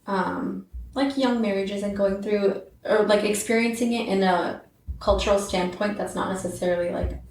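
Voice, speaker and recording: A woman's voice: medium pitch, steady tone, moderate pace, recorded from a distance. A woman co-hosting a podcast in casual chat, saying "like" a lot. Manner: heavy use of "like", warm agreeing backchannel. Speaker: podcast co-host. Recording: roomy, off-mic with faint noise.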